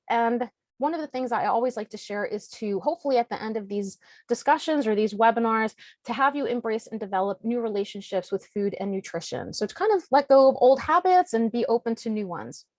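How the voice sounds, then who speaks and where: A woman's voice: on the high side, animated, a little fast, a little mellow, dry recording. A woman dietitian hosting a webinar, presenting in an organized, scripted way over a video call. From United States. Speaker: registered dietitian and webinar host.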